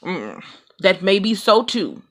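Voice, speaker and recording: A woman's voice: mid-range voice, very animated, slow, fairly bright tone, full character voice. Woman putting on a grumpy, blustery papa bear. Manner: grumbling, blustery, emphatic. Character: grumpy, boastful, competitive father bear.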